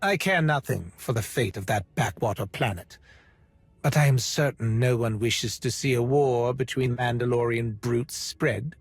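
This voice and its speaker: An actor playing a haughty, disdainful Imperial operative in a video game, condescending and pompous with precise wording. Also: male voice, medium pitch, measured pace, slightly rough, no echo, soft background music.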